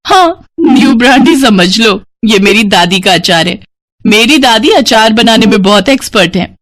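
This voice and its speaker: Woman as young granddaughter, spirited, devoted, expressive, storybook heroine. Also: on the high side, normal speed. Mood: proud.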